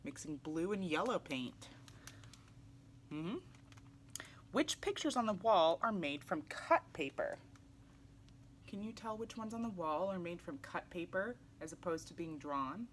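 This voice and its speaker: A young adult woman reading a children's picture book aloud in an animated way, putting questions to young listeners. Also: lowish voice, measured pace, pauses often, slight background noise. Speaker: read-aloud storyteller for children. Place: small indoor room, seated in armchair.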